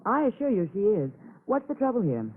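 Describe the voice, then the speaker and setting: A pompous, protective lawyer in old radio drama. His voice sits high and swings sharply with defensive emphasis, heard through a thin vintage broadcast. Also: wildly swooping pitch, fairly quick, full character voice. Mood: indignant. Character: officious, protective male lawyer, interrupting and defensive. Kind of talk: 1950s radio crime drama acting. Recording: narrow-band old radio, faint noise.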